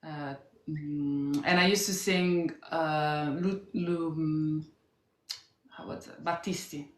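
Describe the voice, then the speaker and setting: A woman in her twenties with an Italian accent answers casually as an interview guest. Her voice sits very low for a woman, and the room sounds echoey. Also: fairly even intonation, slow pace. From Italy. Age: 20s. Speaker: guest singer.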